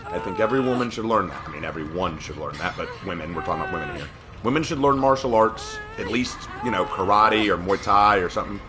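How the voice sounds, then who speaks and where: A man with a slightly hoarse voice, casually chatting and reacting as he streams a game. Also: deep voice, fast talker, noisy background. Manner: talkative, opinionated, laughs at the game. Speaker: livestreamer. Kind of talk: casual gameplay commentary.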